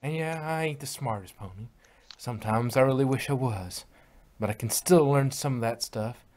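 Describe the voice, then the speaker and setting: A man's voice: mid-range voice, normal speed, darkish tone, natural voice. A man voicing a stubborn, tough female farm pony with a folksy drawl. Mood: defiant. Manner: folksy, defiant. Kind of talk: fan fiction reading.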